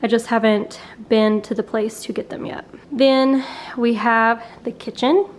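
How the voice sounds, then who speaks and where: A young woman casually narrating a walkthrough of her home as she films it, talking in a chatty, offhand way. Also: on the high side. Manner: chatty, offhand, trails off mid-thought. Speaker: vlogger giving a home tour. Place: rooms of a mobile home. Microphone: handheld camera while walking.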